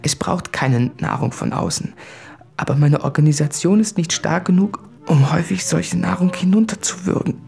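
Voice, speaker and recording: An actor playing a guilt-ridden male writer in a radio play, confessing in an intense, introspective, articulate monologue with a husky voice. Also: fairly high pitch, moderate pace, darkish tone, faint music behind. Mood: anxious, pleading. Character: anguished, guilt-ridden male writer addressing his fiancée. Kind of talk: literary radio play monologue from letters and diaries.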